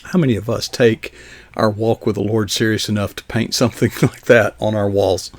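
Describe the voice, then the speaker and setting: A man's voice: on the low side, fairly even intonation, moderate pace, dry recording. An older man hosting a live online interview, folksy and encouraging, asking rambling questions. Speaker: show host.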